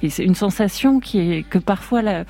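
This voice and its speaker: A woman's voice: medium pitch, animated, moderate pace, vocal fry, warm tone. A woman musician guest on a radio show, speaking thoughtfully and with frequent hesitations. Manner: thoughtful, reflective, many hesitations. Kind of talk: radio interview conversation.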